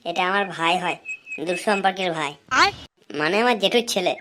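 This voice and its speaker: A woman voicing a touchy young village lad, defensive and quick to threaten, in a comic cartoon. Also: on the low side, crowd noise, close to an everyday voice. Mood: casual.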